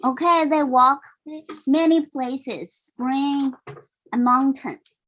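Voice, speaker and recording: Slow, high-voiced woman reading a storybook. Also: a bit bright, poor audio. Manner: simple, explanatory, checks in with listeners. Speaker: parent reading a picture book aloud. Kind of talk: read-aloud storytelling with asides.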